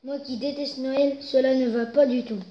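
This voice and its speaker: A woman's voice: high-pitched, steady tone, moderate pace, close to an everyday voice. A woman voicing a big grumpy male wolf who is unhappy with his color, reading a storybook aloud. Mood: dismayed. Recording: roomy, slightly dull recording.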